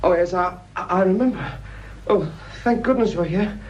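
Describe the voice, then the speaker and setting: A woman's voice: on the low side, normal speed, darkish tone, lightly acted. Female actor, anxious flustered doctor, nervous stammer, radio crime drama, distant from mic, dull noisy sound. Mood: alarmed, flustered. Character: flustered, anxious academic doctor alarmed by intruders.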